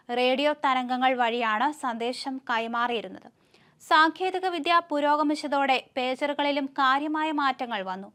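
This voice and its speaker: A woman's voice: high voice, a bit bright. A woman with a Kerala Malayali accent presenting the news as scripted narration.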